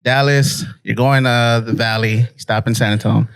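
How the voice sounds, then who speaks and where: A man's voice: mid-range voice, steady tone, moderate pace, gravelly, clear, resonant tone, no echo. A man co-hosting a podcast, asking questions and commenting in casual conversation. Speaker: podcast co-host. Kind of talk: casual chat.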